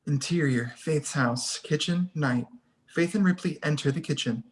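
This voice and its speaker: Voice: mid-range voice, measured pace. A narrator reading scene headings and stage directions aloud at a screenplay table read.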